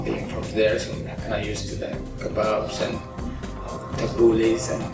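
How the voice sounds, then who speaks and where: A middle-aged man from Egypt, an engineering professor, explaining his research in a measured way with frequent ums and uhs, recorded in a large open-plan control center. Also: on the low side, completely flat delivery, creaky. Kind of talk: interview about his research. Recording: roomy, distant sound with music under it.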